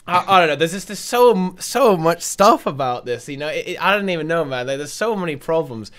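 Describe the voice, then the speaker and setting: A man's voice: on the high side, lively intonation, brisk, fairly bright tone. A man rambling emphatically in a casual video-call chat.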